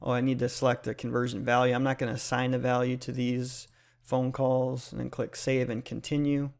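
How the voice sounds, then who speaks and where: A man's voice: medium pitch, gravelly. A man hosting a casual explanatory tutorial, speaking in a plain, step-by-step instructional way. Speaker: tutorial host.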